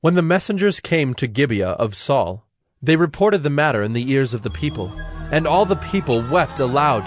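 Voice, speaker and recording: A male narrator reads a scripture story in a solemn, measured way. His voice is medium in pitch, with music playing clearly behind it. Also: big pitch swings, no echo.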